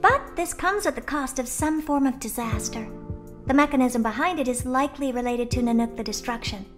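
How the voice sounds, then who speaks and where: A woman's voice: high-pitched, brisk, music in the background, natural voice. A woman playing a haughty, blunt young female genius in a video game, flippant and condescending. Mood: aloof, matter-of-fact. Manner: flippant, condescending, theatrically expressive. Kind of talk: video game character dialogue.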